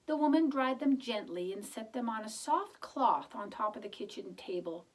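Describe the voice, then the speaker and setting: Animated woman reading to children, slightly husky. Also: on the high side, normal speed, far from the mic. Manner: expressive, explains words and asks the listener questions. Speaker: children's storybook reader. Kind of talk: animated read-aloud of a picture book with chatty asides to children.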